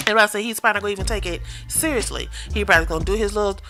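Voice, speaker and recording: A woman's voice: mid-range voice, racing, sharp tone, soft background music. A woman from East Texas with an East Texas accent, co-hosting a casual podcast chat and acting out voices when she retells things. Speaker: podcast co-host.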